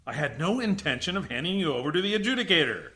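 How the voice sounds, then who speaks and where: Sci-fi radio drama base commander, male actor: high-strung, forceful, swinging delivery, defensive yet earnest, emphatic protests. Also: sing-song, fast. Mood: indignant, protesting. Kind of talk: radio science fiction drama acting.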